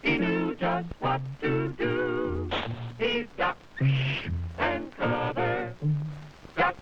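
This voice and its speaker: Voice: medium pitch, sing-song, very slow, far from the mic. A singer performing a bouncy 1950s cartoon jingle over swing music on an old film soundtrack. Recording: dull vintage film soundtrack, loud music. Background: loud swing music.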